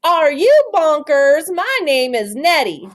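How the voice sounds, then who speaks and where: Lady doing a huge grumpy girl monster from a kids book, super offended, high and swoopy. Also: big pitch swings, slow, full character voice. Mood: indignant, angry. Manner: indignant, exclaiming. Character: big furious female monsters, insulted and growling.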